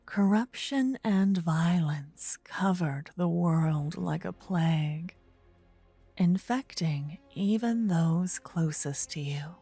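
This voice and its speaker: A woman narrating a scripted fantasy story in a soft, breathy ASMR style. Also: lowish voice, slow, soft background music.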